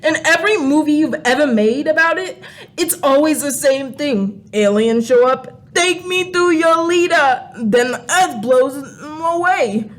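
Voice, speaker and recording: High-voiced sassy alien crayon, played by a woman; snide, scolding, comic radio play. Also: expressive, a little slow. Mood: scornful. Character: sassy, mocking alien crayon renegade visiting Earth. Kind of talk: comedic radio play acting.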